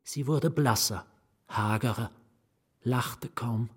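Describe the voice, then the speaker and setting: An actor playing an anguished, fearful novelist in a radio drama, narrating his own ruin with a husky voice that drops into whispers. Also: medium pitch. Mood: brooding, haunted. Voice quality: husky, breathy. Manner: deliberate, dropping into whispers. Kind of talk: literary radio drama first-person narration.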